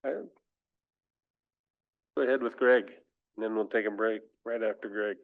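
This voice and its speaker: A man chairs a council meeting, briskly calling motions, seconds and votes. His voice is thin and tinny, as if heard down a phone line. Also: fairly low pitch, steady tone. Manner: brisk, procedural.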